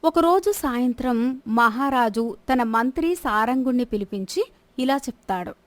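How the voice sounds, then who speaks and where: High-voiced woman storyteller. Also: animated, measured pace, no room sound. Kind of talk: scripted story narration.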